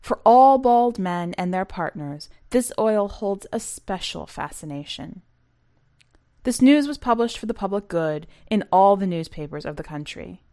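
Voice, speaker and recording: Woman reading a story, measured and precise. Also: mid-range voice, lively intonation, dark tone. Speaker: podcast host reading a short story. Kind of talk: scripted audiobook narration.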